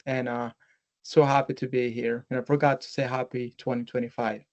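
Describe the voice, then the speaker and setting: A man's voice: mid-range voice, level tone, brisk, highs cut off. A man introducing himself on a video call, earnest, with frequent fillers. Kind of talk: self-introduction.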